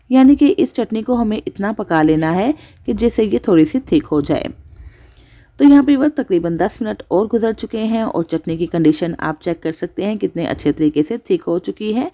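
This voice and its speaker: Mellow-voiced woman narrating recipes. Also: medium pitch. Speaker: cooking channel host. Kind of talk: scripted recipe voice-over narration.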